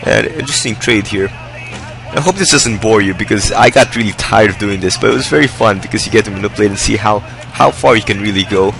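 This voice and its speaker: A young man talks through his gameplay in a low, gravelly voice that has gone hoarse from a long session. His commentary is loose and rambling but upbeat, and faint music plays underneath. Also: a little fast, fairly bright tone, low-quality recording. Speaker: gaming video host. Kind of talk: casual game commentary. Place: indoors, hot room.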